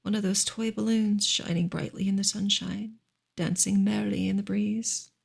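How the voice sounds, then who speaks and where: A woman reads narrative prose in a hushed ASMR style. Her whisper is extremely breathy and airy, with a strong crackly, fried grain. The delivery is gentle, measured and unhurried. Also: mid-range voice, fairly even intonation. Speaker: ASMR reader of a classic novel. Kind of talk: whispered ASMR audiobook reading.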